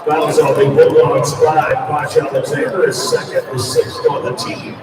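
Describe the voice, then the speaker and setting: A man making formal, measured public-address announcements over the loudspeakers of a large basketball arena. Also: fairly high pitch, very animated. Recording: echoey arena PA from far off, loud crowd. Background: loud crowd.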